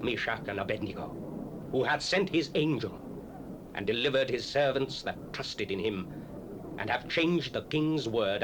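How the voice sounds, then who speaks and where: A man's voice: medium pitch, close to an everyday voice. An actor playing a proud, commanding Babylonian king in a dramatized scripture, with stately, emphatic delivery. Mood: solemn decree. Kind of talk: dramatized scripture, declamatory acting. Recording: noisy 1970s cassette dramatization.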